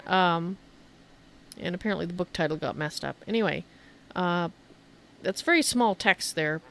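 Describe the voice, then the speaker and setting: A woman's voice: fairly low pitch, a bit bright, a little hiss or hum. A woman giving a casual, chatty game tour as a streamer, thinking aloud as she shows things off. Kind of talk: casual gameplay commentary and show-and-tell.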